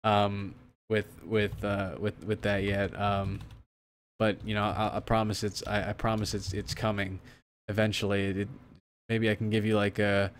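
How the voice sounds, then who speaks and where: A man's voice: on the low side, a little hiss or hum. A young man hosting a fitness livestream, chatting casually with viewers, rambling with many restarts and fillers, and turning emphatic when setting a challenge. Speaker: fitness streamer host.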